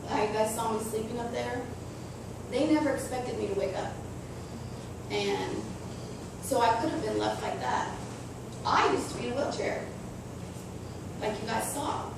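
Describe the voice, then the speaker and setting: A woman with a raspy voice giving an earnest personal talk to schoolchildren about making wise choices. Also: a little high. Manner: earnest, slow and deliberate with children. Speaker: guest speaker to schoolchildren. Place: school hall. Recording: echoey room, distant mic, noisy.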